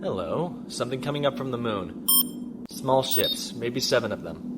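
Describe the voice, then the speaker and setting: An actor playing a steady, dry-humored male spaceship captain in an audio drama, matter-of-fact in manner. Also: mid-range voice, normal speed, heavy background noise, close to an everyday voice. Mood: concerned, practical. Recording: loud music bed behind voice.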